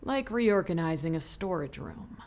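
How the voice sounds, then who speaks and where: An actress playing a brisk senior researcher in an audio drama, quizzing and slightly condescending. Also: female voice, on the low side, very animated, gravelly, darkish tone. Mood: brisk, probing. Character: brisk, probing woman senior researcher mentoring a junior.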